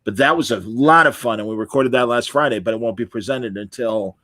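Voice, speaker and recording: A middle-aged man hosts a relaxed interview show. His talk is chatty and informal, with an enthusiastic streak, and his voice sits at an ordinary male pitch in a dry, close recording. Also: brisk, no room sound. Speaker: podcast host and interviewer. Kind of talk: casual interview chat.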